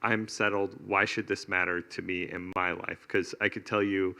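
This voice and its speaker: A low-pitched man with a gravelly edge to his voice moderates a panel discussion. His tone is bright and forceful as he works through long, searching questions, often restarting his phrasing. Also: flat delivery, normal speed.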